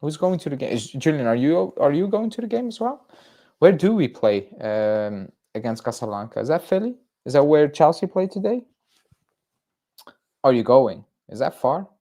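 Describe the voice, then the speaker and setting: A man's voice: fairly high pitch, big pitch swings, normal speed, dark tone. A young man from Belgium hosting a casual fan livestream, rambling through viewer chat with fillers and restarts. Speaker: football fan channel host.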